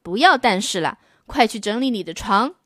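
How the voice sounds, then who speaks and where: A woman voicing a firm mother who gives brisk orders. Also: fairly high pitch, lively intonation, sharp tone, no echo, clean studio sound.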